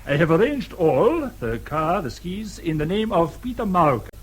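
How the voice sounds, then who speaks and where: An actor playing a grave, courteous German man in a radio drama, speaking formally and deliberately with a German accent. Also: a little high, big pitch swings, noisy background. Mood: grave, confiding. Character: courteous, principled German man, grave confidant or consular clerk.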